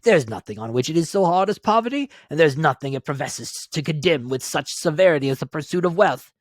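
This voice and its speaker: A man's voice: fairly high pitch, animated, brisk, no room sound, voice pushed up. Man reading as proud man in his prime, impatient, defensive, retorting, raised voice, dry storybook recording. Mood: bitter, indignant.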